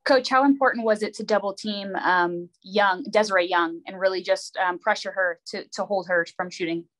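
Woman reporter with mid-pitched voice. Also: fairly even intonation, moderate pace, a bit bright, rough recording. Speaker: sports reporter. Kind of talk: asking press conference questions. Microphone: video call.

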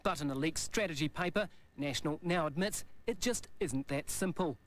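A man's voice: on the high side, moderate pace, slight background noise. A man with a New Zealand accent narrating a scripted television news report.

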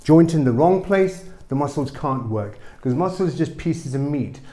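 A middle-aged man, a chiropractor, explaining a treatment lively and emphatically in a small clinic room. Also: fairly high pitch, moderate pace.

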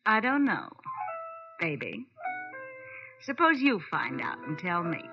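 An American woman playing a guarded, sharp-tongued detective's client in 1940s radio acting style. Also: fairly low pitch, sing-song, takes it slowly, music in the background, heavily acted. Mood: cool, guarded. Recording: muffled old-time radio recording.

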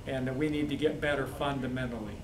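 A man who is a head football coach, answering postgame questions in a steady, measured way with many fillers. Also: mid-range voice. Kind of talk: postgame press interview. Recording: echoey, distant mic, crowd noise.

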